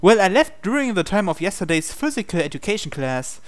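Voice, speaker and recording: A man reading visual novel dialogue aloud in character, with a hesitant, halting delivery. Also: high-pitched, sing-song. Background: game music.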